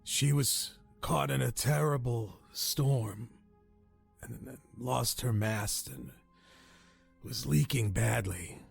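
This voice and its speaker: An actor playing a dying old castaway in a radio drama, weak and haunted, telling his story in halting, solemn, old-fashioned phrases. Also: mid-range voice, faint music behind, exaggerated voice. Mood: weary, haunted. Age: older. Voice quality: weak, raspy, breathy.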